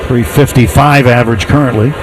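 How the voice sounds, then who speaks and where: A man with an American accent doing live radio play-by-play of a baseball game in measured, descriptive calls. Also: medium pitch, steady tone, noisy background. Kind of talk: live radio sports commentary.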